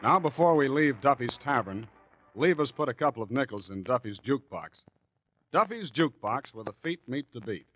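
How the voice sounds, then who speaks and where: A medium-pitched man gives a scripted jukebox-host introduction. His voice is muffled and reverberant, with music playing behind him. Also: very animated, normal speed. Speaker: radio show presenter.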